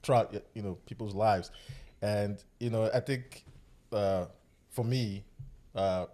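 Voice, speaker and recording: A man hosting a podcast, speaking slowly and hesitantly with many fillers as he reflects. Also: fairly low pitch, steady tone, warm tone. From Nigeria, Warri. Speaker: podcast host. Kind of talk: casual reflective chat.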